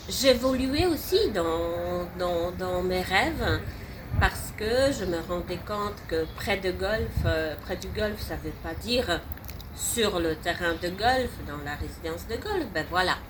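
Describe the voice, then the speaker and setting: A middle-aged woman from Poland with a Polish accent, speaking as a non-native and giving an unscripted client testimonial, halting and emphatic, often restarting and repeating words. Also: lowish voice. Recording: steady background noise.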